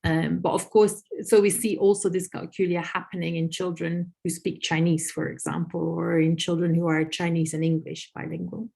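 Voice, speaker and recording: Low-voiced Dutch-native woman explaining. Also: level tone, gravelly, mellow. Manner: thoughtful, many "um" pauses, lists examples. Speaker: researcher answering audience questions. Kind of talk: webinar Q&A, explaining.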